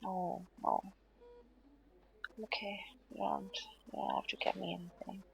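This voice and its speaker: A woman playing a weary, stubborn but affectionate hospital patient in an intimate audio roleplay, speaking softly and hesitantly, often half-whispering. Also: mid-range voice, sing-song, creaky, full character voice. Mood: weary reassuring. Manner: hesitant, halting, reassuring, drowsy.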